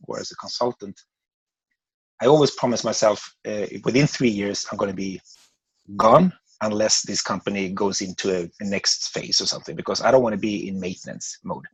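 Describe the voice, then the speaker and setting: A man's voice: fairly low pitch, husky, no echo, low-quality recording. A man in his forties from Sweden with a Swedish accent, a podcast guest talking casually and rambling with many restarts. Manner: rambling, restarts words often. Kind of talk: casual interview chat.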